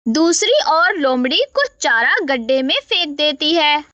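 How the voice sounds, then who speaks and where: A woman storyteller reading scripted narration for an animated moral tale. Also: high voice, unhurried, dry recording, muffled recording.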